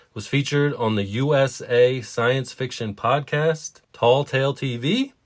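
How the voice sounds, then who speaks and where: Male podcast co-host, mid-pitched voice. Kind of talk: casual podcast chat and introductions.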